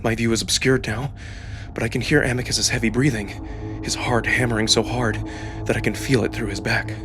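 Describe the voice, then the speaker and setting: Expressive man narrating a dramatic story over music. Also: mid-range voice, normal speed. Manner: expressive, suspenseful. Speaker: narrator and voice performer. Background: background music.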